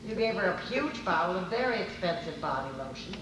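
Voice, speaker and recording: A woman's voice: lowish voice, big pitch swings, brisk. An exasperated, bossy wife from a domestic radio comedy. She chides and insists, repeating herself. The sound is distant, in a very reverberant, noisy hall. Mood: exasperated, instructing. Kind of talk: old-time radio comedy acting, live on stage. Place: convention stage before an audience.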